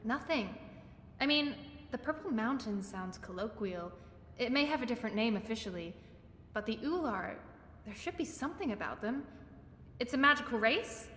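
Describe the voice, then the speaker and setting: Eager, socially awkward young bookworm in a fantasy game. Higher register, rambling delivery, reverberant space. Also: female voice, lively intonation, normal speed, fairly bright tone. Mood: thoughtful. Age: young adult. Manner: gushing, rambling, self-correcting. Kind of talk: video game character acting. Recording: echoey hall, faint background noise.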